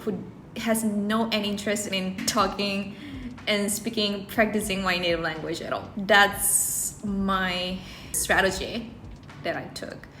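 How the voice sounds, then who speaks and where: A woman's voice: mid-range voice, a bit bright, a little hiss or hum. Woman giving earnest advice, roomy sound. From South Korea. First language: Korean. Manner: earnest, rephrases herself mid-sentence. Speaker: English-learning video creator. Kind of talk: personal advice talk to camera. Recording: roomy echo, faint background music.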